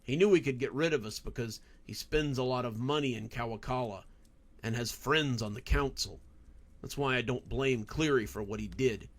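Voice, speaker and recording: A man voicing an angry, bitter man at a bar, gruff and insistent, in a storybook reading. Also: medium pitch, normal speed, close to an everyday voice.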